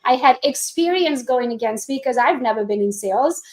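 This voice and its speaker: A woman from Romania speaks English with a Hungarian accent, her voice sitting a little high. She is chatty and energetic, laughing easily. It sounds like a computer mic on a video call. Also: normal speed. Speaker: guest speaker. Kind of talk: live online presentation.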